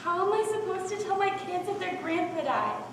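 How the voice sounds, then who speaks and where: A woman's voice: very high voice, big pitch swings, brisk. A woman acting an anxious young woman in a school one-act play. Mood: distressed, indignant. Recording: echoey hall from far off, faint noise.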